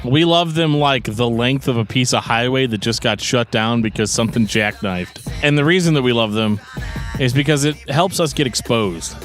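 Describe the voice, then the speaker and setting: A man with a middling pitch co-hosts a casual podcast over music. He rambles through his explanations in a joking way. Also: moderate pace, fairly bright tone. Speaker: podcast co-host.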